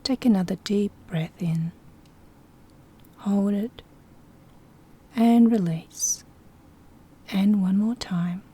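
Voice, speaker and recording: A soft-spoken woman with a breathy, whispery voice gently guiding a sleep hypnosis session in a soothing, instructing way. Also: mid-range voice, drawn-out words, pauses often. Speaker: hypnosis guide.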